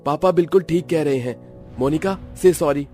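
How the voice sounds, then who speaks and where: A man voicing a mild, respectful young grown son in an animated family drama. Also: on the high side, moderate pace, faint music behind, pitched above the performer's own voice, lightly acted. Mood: supportive.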